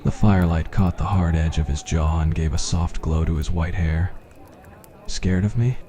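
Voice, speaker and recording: A man's voice: rumbling low, little pitch movement, moderate pace, mellow. A man reading a scripted fantasy roleplay as ASMR story narration.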